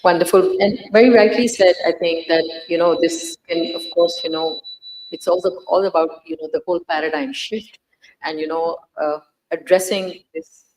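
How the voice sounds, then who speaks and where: A woman's voice: on the low side, moderate pace, darkish tone, rough recording. A woman moderating a live panel, circling her way through wordy questions.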